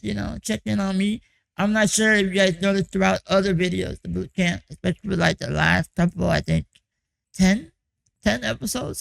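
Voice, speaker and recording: A young man with a hoarse, croaky voice that is heavily gravelly and pitched fairly high for a man. He talks in a loose, rambling way, leaning on "like" and "you know" as he checks in with his viewers on a music production course. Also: fairly bright tone, low-quality recording. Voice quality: raspy, croaky. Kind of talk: casual vlog check-in.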